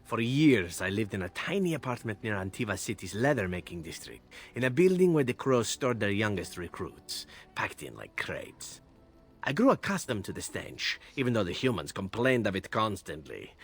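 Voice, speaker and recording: A man's voice: medium pitch, very animated, normal speed. A man voicing a flirtatious elven assassin from a video game, teasing, florid and wistful about his homeland.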